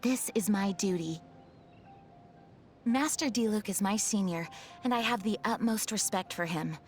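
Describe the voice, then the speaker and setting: A woman's voice: mid-range voice, a little slow, faint music behind, close to an everyday voice. Woman doing young lady knight from a video game, earnest and proper, composed, very formal wording. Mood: sincere, dutiful. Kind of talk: video game character voice lines.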